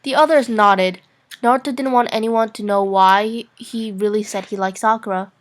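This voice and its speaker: A woman's voice: medium pitch, fairly even intonation, normal speed. A young woman narrating fan fiction as an amateur dramatic reading, playful and sometimes stumbling over her lines.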